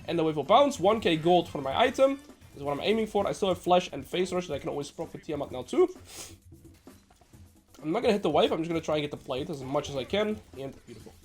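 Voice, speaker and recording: A man from the Netherlands, sounding nasal and a little sick, giving brisk gameplay commentary as a coach with joking asides. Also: fairly high pitch, expressive, soft background music. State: slightly sick. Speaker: game coach.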